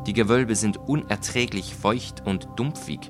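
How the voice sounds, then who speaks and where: A man voicing a cunning, vengeful gentleman in a story, flattering and coaxing with feigned concern for a friend. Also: lowish voice, unhurried, faint music behind, lightly acted. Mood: falsely concerned. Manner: flattering, falsely solicitous, coaxing. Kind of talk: dramatised audiobook reading.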